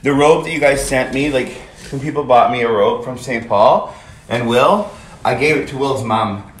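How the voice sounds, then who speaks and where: A man's voice: mid-range voice, moderate pace. A chatty, teasing man in his fifties bantering casually on a livestream, a little away from the phone. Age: 50s. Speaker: livestream co-host. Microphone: phone, a little distant. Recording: roomy, distant phone audio with noise.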